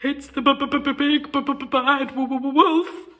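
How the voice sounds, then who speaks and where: A woman's voice: high-pitched, steady tone, drawn-out words, pushed and bright, voice pushed up, full character voice. A woman voicing a giggly, easily frightened little male pig in a children's storybook, squealing and trembling. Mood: terrified, stammering. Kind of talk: children's storybook read-aloud.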